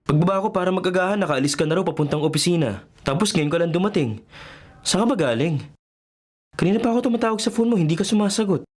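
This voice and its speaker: A man's voice: high voice, animated, fast, darkish tone. An actor playing a clingy, jealous, lovesick young man in a radio drama, with a Filipino accent. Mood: hurt, indignant. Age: young adult. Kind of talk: Tagalog radio drama acting.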